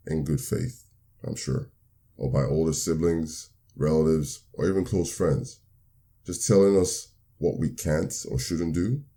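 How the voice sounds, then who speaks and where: A man with a very deep voice hosts his own motivational podcast. His tone is mellow and warm. He speaks in an upbeat, earnest and measured way, slowing down when he repeats definitions. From Nigeria. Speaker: podcast host. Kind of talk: solo motivational podcast talk.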